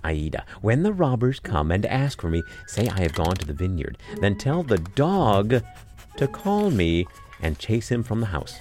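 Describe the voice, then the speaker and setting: A man reading a storybook aloud and voicing a clever, cheerful little shoemaker in a lively, raised voice. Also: a little slow, soft background music. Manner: bright and lively, lifting in pitch.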